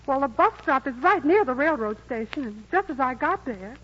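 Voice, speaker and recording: An earnest young country girl pleads softly in a high voice. It is 1940s radio acting on a dull, muffled broadcast recording. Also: lively intonation, fairly quick. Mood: pleading. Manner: soft, pleading. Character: earnest shy country girl Cindy Lou.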